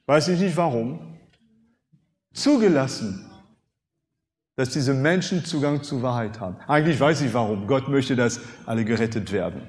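A man in his fifties, a native French speaker, preaching a sermon with animated rhetorical questions and emphatic swings in loudness. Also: medium pitch, moderate pace, pauses often. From France. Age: 50s. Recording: long hall reverb.

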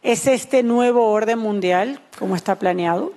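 Female interpreter, fairly high voice, rendering a sermon phrase by phrase. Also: a little slow, warm tone.

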